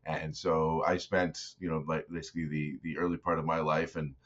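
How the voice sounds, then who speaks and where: A man from Saskatchewan, an interview guest, talking in a relaxed, reflective way with many fillers. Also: a bass voice, fairly quick. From Saskatchewan, Canada. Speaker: guest, startup investor. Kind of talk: casual interview conversation. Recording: dull, lo-fi remote audio.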